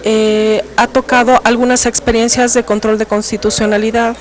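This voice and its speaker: An interpreter carries an academic lecture in measured chunks, keeping a steady pace. The pitch stays flat with little movement. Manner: measured, even delivery in short phrases.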